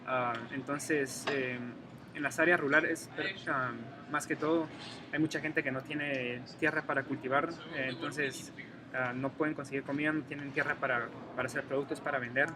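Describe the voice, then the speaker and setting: A man's voice: mid-range voice, steady tone, normal speed. A young man from Guatemala with a Guatemalan Spanish accent, answering interview questions thoughtfully with many hesitations. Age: 20s. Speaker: interviewee, graduate student. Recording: noisy room ambience.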